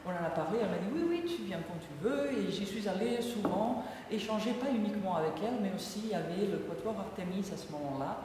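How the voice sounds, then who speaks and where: A woman's voice: mid-range voice, lively intonation, fast, background noise. A woman whose first language is Catalan presents her book to an audience in a lecture, hesitant and full of fillers and restarts. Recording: echoey hall from far off.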